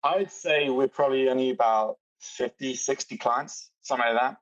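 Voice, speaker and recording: A British man walks through software features on a video call, fluent and matter-of-fact. He sits some way back from his mic, and the call audio is dull and lo-fi. The room sounds dry. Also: medium pitch, normal speed, dry recording, recorded from a distance. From Britain. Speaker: booking software executive. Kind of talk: explaining a product on a video call.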